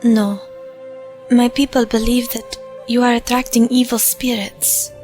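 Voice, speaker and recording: A woman playing a grave, reserved Arctic tribeswoman in an audio drama, speaking hesitantly and deliberately. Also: on the high side, little pitch movement, darkish tone, faint music behind, close to an everyday voice. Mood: guarded, grave.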